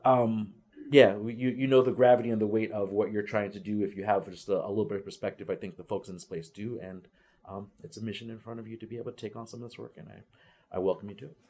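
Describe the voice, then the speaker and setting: A man's voice: fairly low pitch, steady tone, fast talker, mellow. A middle-aged man who coaches ethnic studies teachers, speaking earnestly at a panel table in a lecture room, with frequent restarts and fillers. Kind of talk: panel talk to students. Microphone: far camera.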